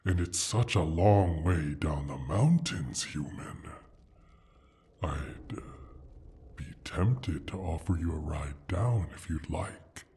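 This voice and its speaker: A man voicing a proud, sly male dragon in an ASMR fantasy roleplay, speaking in a deliberate, formal, teasing way with a husky, breathy rumble. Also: expressive, mellow, full character voice. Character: injured male dragon, proud, sly, possessive of his hoard.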